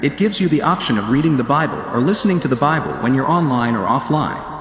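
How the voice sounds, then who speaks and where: A man's voice: mid-range voice, lively intonation, a little fast. A man reading a scripted advertisement in a conversational announcer style. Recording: reverberant announcer track. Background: music.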